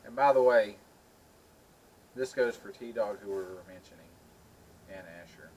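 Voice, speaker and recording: Man, middle-aged, somewhat deep voice, casual chat, noticeably noisy recording. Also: a little slow, a little mellow. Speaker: video co-host.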